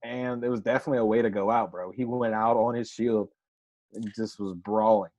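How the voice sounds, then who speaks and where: A man's voice: on the low side, steady tone, fairly quick, a little mellow. A man co-hosting a casual sports chat, slangy and easygoing. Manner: casual, slangy, says "bro" and "like". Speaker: podcast co-host. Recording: dull lo-fi mic.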